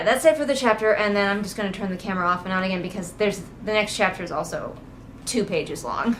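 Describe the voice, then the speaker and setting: A woman's voice: medium pitch, a bit bright. Sarcastic woman critiquing, noisy background. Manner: exasperated, mocking asides and acted-out quotes. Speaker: book critic hosting a readalong. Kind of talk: casual sarcastic commentary. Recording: noticeable background noise, train outside. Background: ambient noise, passing train at times.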